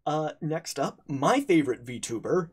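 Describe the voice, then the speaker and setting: A man's voice: on the high side, lively intonation, unhurried, a little mellow, husky. A man running a tabletop game stream, introducing players with stammering restarts and jokes. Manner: stammering restarts, joking, laughs a lot. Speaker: game master hosting a charity stream. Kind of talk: casual chat, introducing players.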